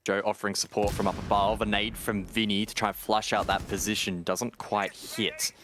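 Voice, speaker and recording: A man doing brisk play-by-play commentary on an esports match, his voice rising at the big plays. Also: little pitch movement, noisy background. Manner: brisk, rises in pitch at key plays. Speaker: esports caster.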